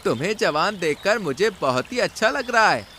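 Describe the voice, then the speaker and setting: A man voicing a trusting father pigeon in a cartoon, earnest and expressive. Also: sits high, normal speed, a little hiss or hum, voice pushed up, exaggerated voice. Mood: friendly concern.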